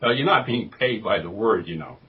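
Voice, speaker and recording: A man playing a stammering, sardonic captive in a parody radio drama, halting between words. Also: on the low side, animated, narrow, tinny audio, close to an everyday voice. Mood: flustered, sardonic. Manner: halting, stammering, full of uhs. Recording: faint background noise.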